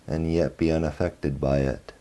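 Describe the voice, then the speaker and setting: A man guiding a meditation in a very low, mellow voice. He speaks slowly and flatly, with little rise and fall, in short phrases. Long pauses separate them. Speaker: meditation teacher. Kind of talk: guided meditation.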